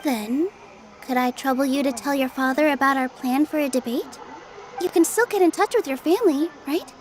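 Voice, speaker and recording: A woman voicing a gentle young female dancer in a video game, modest, sincere and determined. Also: high voice, moderate pace, close to an everyday voice. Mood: earnest. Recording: faintly noisy game capture.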